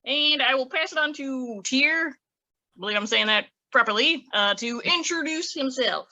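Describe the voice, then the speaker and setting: Bright, high-pitched woman. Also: animated, moderate pace. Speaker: panel guest. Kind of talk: casual self-introduction.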